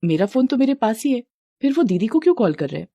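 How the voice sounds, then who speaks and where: A woman's voice: on the high side, big pitch swings, fast talker, mellow, no echo, pitched above the performer's own voice. A woman voicing a suspicious young wife, anxious but determined, in a storybook tale. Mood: puzzled.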